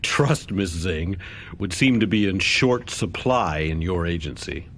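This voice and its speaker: An actor playing a skeptical official at a hearing, questioning in a formal, pointed way, in a video game. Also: male voice, low voice, animated, a little slow, fairly bright tone, slight background noise, full character voice. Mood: skeptical, probing. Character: skeptical, formal male official interrogating witnesses. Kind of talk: scripted game cutscene acting.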